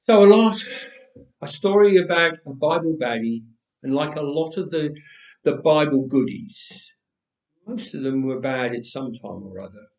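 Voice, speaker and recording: A man reading a story aloud with expressive, dramatic pauses, recorded in an echoey church. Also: fairly high pitch, gravelly, recorded from a distance. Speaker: storyteller, reader. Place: church, indoors.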